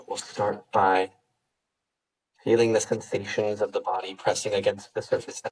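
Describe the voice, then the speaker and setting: A man teaching yoga, leading a guided meditation with gentle, unhurried instructions and pauses. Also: lowish voice, level tone, breathy edge, recorded from a distance, low-quality recording.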